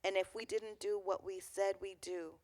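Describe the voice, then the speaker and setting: A woman co-hosting a community radio show, speaking in a reflective, unhurried and affirming way. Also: mid-range voice, fairly even intonation, a little mellow. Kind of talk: warm community radio chat with some spoken poetry.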